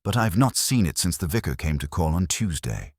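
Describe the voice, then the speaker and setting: A man's voice: low voice, very animated, brisk, a little mellow, breathy edge, dry recording, clean studio sound, heavily acted. A man voicing a prim, politely fussy woman in a mystery story, speaking precisely and genteelly. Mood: defensive, prim.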